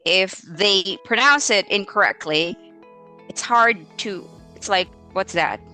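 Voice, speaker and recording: A woman's voice: medium pitch, slow pace, pushed and bright, soft background music. A woman with a Filipino accent giving informal live teaching advice, thinking aloud and searching for words as she explains with spoken examples. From Philippines. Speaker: English teacher hosting a live Q&A.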